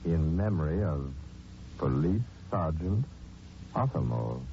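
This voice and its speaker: A man's voice: a bass voice, expressive, measured pace, warm tone, slight background noise. A man hosting a 1940s radio mystery, narrating in a dramatic, sardonic, theatrical manner. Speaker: radio mystery host and announcer. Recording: dull 1940s radio recording with music. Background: music.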